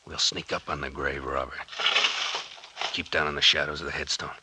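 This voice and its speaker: A man's voice: extremely low pitch, steady tone, normal speed. A man playing a tense, commanding captain in an old-time radio serial, giving terse and urgent orders. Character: tense, commanding male captain leading a night stakeout. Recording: faintly noisy old-time radio recording.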